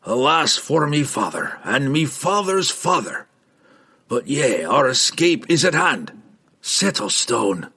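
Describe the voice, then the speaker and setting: A man's voice: medium pitch, sing-song, slow pace, sharp tone, husky, voice pushed up, exaggerated voice. A man voicing an anguished, delirious old dwarf warrior in a fantasy audiobook, crying out and gasping. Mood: anguished relief.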